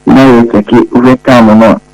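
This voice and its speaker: A man's voice: mid-range voice, flat delivery, normal speed, darkish tone, no echo, low-quality recording. A man from Ghana with a Ghanaian accent, giving his account as an interviewee. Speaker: interviewee, party organizer.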